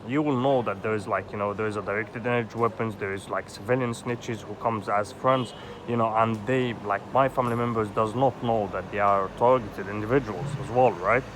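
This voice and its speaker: A man's voice: fairly low pitch, steady tone, fairly quick. A man in his thirties from Egypt, ranting in an agitated, rambling way with lots of swearing and filler words. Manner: agitated, rambling, swears often, heavy "you know" fillers. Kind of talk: rambling personal vlog monologue. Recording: loud outdoor street traffic noise.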